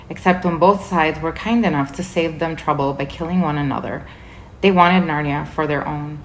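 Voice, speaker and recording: A woman reading a children's book chapter aloud as a volunteer reader, in a measured, clear way. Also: deep voice, a little hiss or hum, poor audio. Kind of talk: storybook read-aloud narration.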